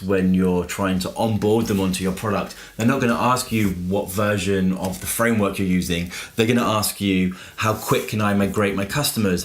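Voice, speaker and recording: A man giving an informal review in an explanatory way, using everyday examples and questions. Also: on the low side. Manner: explanatory, uses everyday analogies and rhetorical questions. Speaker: book reviewer and software business owner. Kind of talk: informal book review to camera.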